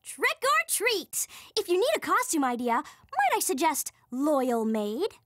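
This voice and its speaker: A woman's voice: high-pitched, wildly swooping pitch, measured pace, a bit bright, no room sound, studio quality, heavily acted. An actress playing a perky, playful girl in a video game, bubbly and sing-song. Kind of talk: scripted video game character voice lines.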